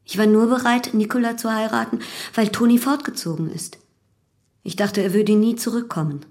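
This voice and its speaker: An actress playing a calm, unashamed young widow in love, in a German radio drama. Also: female voice, a little high, moderate pace, close to an everyday voice. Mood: composed, matter-of-fact. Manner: composed, unashamed.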